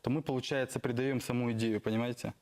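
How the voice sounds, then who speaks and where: A man at a middle pitch, neither high nor low, talks calmly and at length about his band in a studio interview. He explains things in detail and often laughs. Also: fast. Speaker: band leader.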